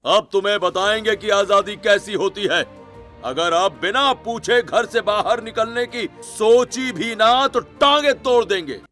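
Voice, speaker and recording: A man playing strict middle-aged patriarch, raised high pitch, drawn-out scolding, domineering, threatening, cartoon drama. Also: a bit bright, soft background music. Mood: menacing anger.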